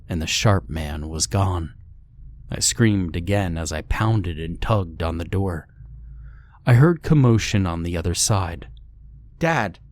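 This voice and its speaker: A man's voice: low-pitched, darkish tone, a little hiss or hum. A man reading a scripted first-person horror story as its narrator.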